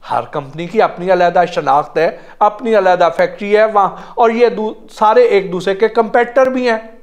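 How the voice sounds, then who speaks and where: A man's voice: sits high, moderate pace. A middle-aged man, a vlogger earnestly explaining a product check step by step. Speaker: automotive vlogger. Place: ornate indoor room, seated at a table. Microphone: lapel mic.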